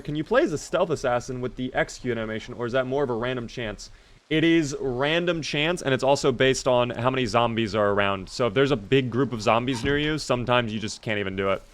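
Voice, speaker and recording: A man's voice: mid-range voice, fairly bright tone, smooth. A man streaming a game, chatting casually and answering his viewers while he plays; his voice was recently strained. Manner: chatty, reads and answers chat while playing. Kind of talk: casual live gameplay commentary.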